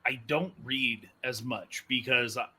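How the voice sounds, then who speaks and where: A man hosts a casual interview with a very bright, sharp-edged voice. His pitch is average and the talk is conversational. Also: measured pace, breathy edge. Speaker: host, voice actor and producer.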